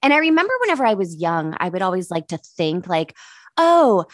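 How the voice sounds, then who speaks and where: An American woman of thirty-nine hosting a comedy podcast in casual chat, candid and casually profane, quick to laugh. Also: fairly low pitch, big pitch swings, dry recording, clean studio sound. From Pittsburgh, United States. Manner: laughs readily.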